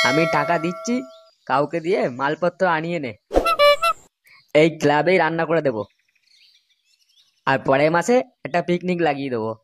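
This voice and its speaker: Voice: mid-range voice, background noise, close to an everyday voice. A performer voicing easygoing, crude young club loafers in a comedy cartoon, chummy and coaxing.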